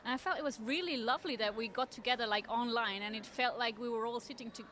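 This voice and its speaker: A woman's voice: on the high side, a bit bright, a little hiss or hum. Woman, thoughtful interview guest. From Austria. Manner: articulate, thoughtful, measured. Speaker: interview guest, trend forecaster. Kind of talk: conversational interview. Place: at a table at a design fair.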